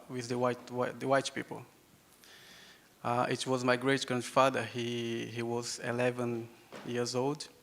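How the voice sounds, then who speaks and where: Young Brazilian man, Yawanawa native speaker, halting public talk, slow delivery, long hall reverb. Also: mid-range voice, vocal fry, a bit bright. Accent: Brazilian Portuguese. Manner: halting, repeats words, laughs often. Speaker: invited speaker. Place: hall with an audience. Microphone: handheld microphone.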